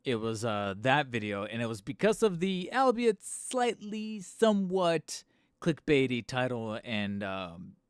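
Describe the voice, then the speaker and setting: A man hosting a live stream from home on a studio microphone, a little hoarse and tired, rambling casually with fillers and restarts and poking fun at himself. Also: medium pitch, big pitch swings, unhurried, dry recording. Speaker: channel host streaming live. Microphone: studio microphone close up.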